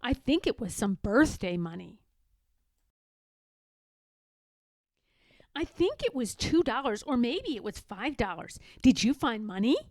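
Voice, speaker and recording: A woman's voice: fairly high pitch, big pitch swings, moderate pace, a little mellow, dry recording, studio quality. A woman voicing a crafty, pushy young girl in a storybook, wheedling and insistent.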